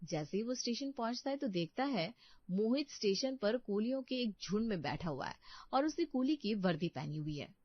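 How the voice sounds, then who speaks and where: A woman's voice: medium pitch, very animated, moderate pace, dry recording, lo-fi sound. A woman narrating a scripted story as its storyteller.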